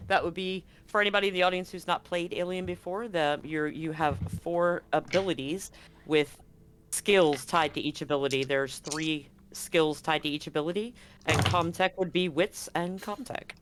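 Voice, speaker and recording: A man's voice: a little high, normal speed, background noise. A man acting as game master, narrating and chatting in an easygoing, informal way during a live tabletop game.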